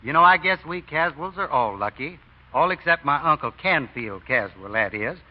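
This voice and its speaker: An actor playing a genial, folksy small-town deacon who slyly feigns innocence, in old radio drama style. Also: male voice, on the high side, lively intonation, moderate pace. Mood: slyly modest. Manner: folksy, polite, drawling modesty. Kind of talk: 1940s radio acting. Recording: muffled old radio recording.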